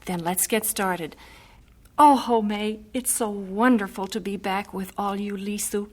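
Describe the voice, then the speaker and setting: A woman acting as a missionary wife in a vintage radio play. The voice is of average pitch for a female, with an affectionate but strong-willed character and expressive old-time dramatic delivery. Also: measured pace, background noise, lightly acted. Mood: joyful, affectionate.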